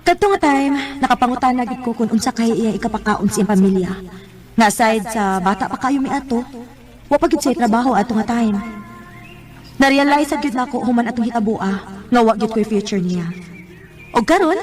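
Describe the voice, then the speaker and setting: A woman's voice: on the high side, fairly quick, darkish tone, faint music behind, close to an everyday voice. An actress with a Cebuano accent playing an emotive, lovelorn young woman in a radio drama. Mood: reflective. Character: young woman Hope, lovelorn girlfriend turned firm narrator. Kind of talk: Bisaya radio drama acting.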